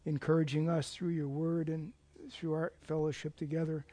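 An older man preaching in a church with a slightly high, hoarse voice. He talks in a rambling, self-deprecating way, full of fillers and restarts, and wears a clip-on mic. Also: flat delivery, normal speed, warm tone. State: losing his voice. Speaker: lay preacher. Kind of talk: informal sermon with opening prayer.

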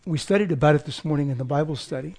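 An older man with a hoarse voice, as he is losing it, giving an informal sermon after an opening prayer, rambling and self-deprecating, with many fillers and restarts. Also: fairly high pitch, racing, a little mellow. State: losing his voice. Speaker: lay preacher.

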